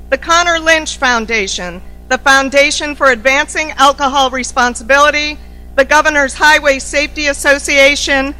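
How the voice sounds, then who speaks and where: Loud, bright-voiced woman opening a conference. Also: high voice, rough recording. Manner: upbeat, formal, reading thanks and introductions. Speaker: CEO opening a summit. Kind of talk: welcome speech at a conference. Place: large conference room.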